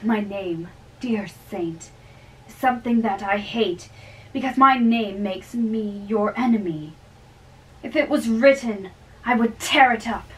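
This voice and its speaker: Lovestruck young man, acted by a woman, earnest, halting, very slow delivery, amateur drama reading, distant microphone. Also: fairly high pitch, fairly bright tone. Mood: earnest devotion. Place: small bedroom, indoors. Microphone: far camera.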